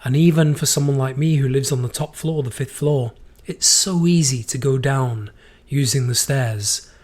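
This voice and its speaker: A sick man hosts his solo podcast, his voice strained and worn from a cold. He reads a poem at a slow, deliberate pace. He also rants with strong opinions about habits he finds illogical. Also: medium pitch, darkish tone. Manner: slow deliberate reading, then opinionated ranting. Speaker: podcast host.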